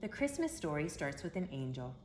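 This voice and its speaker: A woman reading a children's story aloud in an expressive, emphatic storytelling manner. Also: deep voice, brisk. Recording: roomy echo with background hiss.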